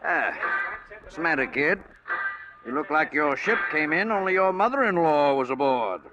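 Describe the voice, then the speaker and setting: Folksy old male hustler played by a man, highish voice, very animated, florid and wheedling, vintage radio drama. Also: normal speed, muffled recording, over music, lightly acted. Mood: jovial, prying. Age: older. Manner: chatty, florid, avuncular talk. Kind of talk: 1940s radio drama acting. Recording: old 1940s radio broadcast recording.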